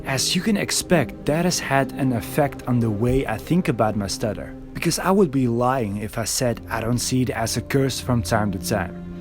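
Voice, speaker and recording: A man reading a scripted voiceover for a video essay in an earnest, reflective way, recorded alone. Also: mid-range voice.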